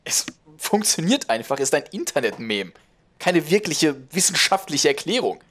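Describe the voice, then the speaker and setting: A man's voice: on the high side, very animated, normal speed, a bit bright, a little hiss or hum, voice pushed up. A man voicing a skeptical, rational man in an audio horror story, matter-of-fact and questioning. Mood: challenging. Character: skeptical, rational man who demands clear answers.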